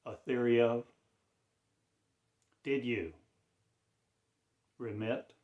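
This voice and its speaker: A man delivering a rambling spiritual monologue in a slow, drawn-out, cryptic declaiming manner. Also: mid-range voice, steady tone, reverberant, dull audio.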